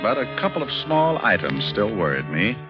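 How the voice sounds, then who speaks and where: Low-voiced, wisecracking private eye. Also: male voice, big pitch swings, moderate pace. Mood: wry narrating. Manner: dry, smooth-talking, flippant. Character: wisecracking male private detective, breezy and wry. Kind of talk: 1940s-50s radio detective drama, narration and dialogue. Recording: dull vintage radio recording, music behind.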